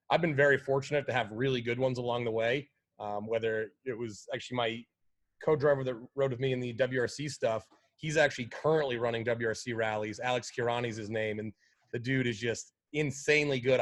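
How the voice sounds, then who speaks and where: A man at a middle pitch talks as a guest in a laid-back interview. He rambles in an easy, friendly way and leans on casual fillers as he speaks. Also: fairly even intonation, fairly quick. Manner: easygoing, lots of fillers like "like" and "you know". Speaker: guest, pro rally driver.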